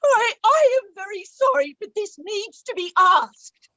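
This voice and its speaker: A woman's voice: extremely high pitch, very animated, a little slow, bright, forceful tone, lo-fi sound, full character voice. A woman playing an outspoken, insistent woman who objects at a public inquiry, in a table read of a courtroom play. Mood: indignant, pleading. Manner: emphatic, insistent.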